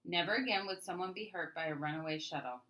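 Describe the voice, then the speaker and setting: A woman reading a children's story aloud as an expressive narrator. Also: fairly low pitch, quick pace. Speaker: storybook reader.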